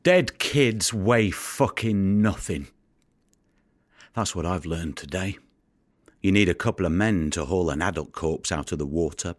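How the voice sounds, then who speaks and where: A grim, world-weary fisherman speaks in an extremely deep, husky voice. His audio-play monologue is blunt, bleak and matter-of-fact, recorded close and dry. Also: no echo, full character voice. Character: grim, world-weary male fisherman hardened by recovering the drowned.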